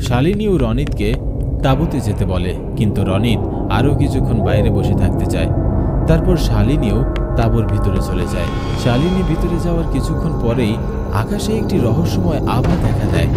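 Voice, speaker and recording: A man narrating a horror cartoon story in a dramatic, suspenseful storytelling manner. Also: on the low side. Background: loud music.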